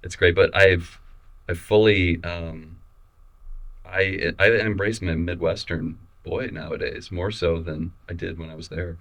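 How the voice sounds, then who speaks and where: A young adult man from Missouri, a photographer talking casually in an interview, relaxed and halting with many restarts and fillers. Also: deep voice. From Missouri, USA. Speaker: photographer guest.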